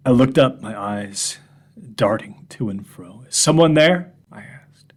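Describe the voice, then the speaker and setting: A man's voice: medium pitch, animated, a little slow. A man playing a shaken, bitter storyteller. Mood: haunted. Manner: bitter, agitated, swinging from loud outbursts to hushed whispers. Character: shaken man addressing a room of gentlemen. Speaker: narrator. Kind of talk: first-person horror story reading.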